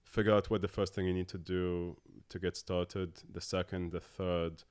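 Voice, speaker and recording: A man in his thirties from Abu Dhabi, a tech co-founder talking as a podcast guest in a halting way with many filler sounds. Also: low-pitched, little pitch movement, darkish tone. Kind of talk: casual interview talk.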